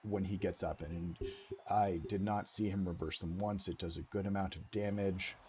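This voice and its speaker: Hoarse male gameplay commentator, under the weather; deep, halting delivery. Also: fairly even intonation, warm tone, slight background noise. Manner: halting, lots of ums, saving his voice. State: hoarse, sick. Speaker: game commentator. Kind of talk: casual gameplay walkthrough commentary.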